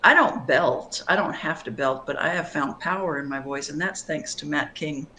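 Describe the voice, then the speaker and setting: A middle-aged woman with a bright, clear tone, talking as a singer-songwriter guest on an interview show. She speaks thoughtfully, pausing with fillers and restarting sentences as she works through her ideas. Faint noise sits under the recording. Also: low voice, low-quality recording. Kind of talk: conversational interview answers.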